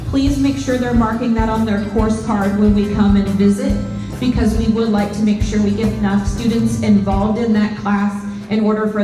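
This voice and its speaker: Woman, school presenter, instructive delivery, distant and echoey with music behind. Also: on the high side. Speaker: middle school staff presenter. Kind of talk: informational presentation to parents.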